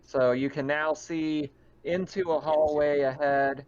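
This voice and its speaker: A man's voice: a little high, steady tone, slow, a little mellow, dull audio. A man acting as game master, narrating a tabletop roleplaying game in a casual, explanatory way.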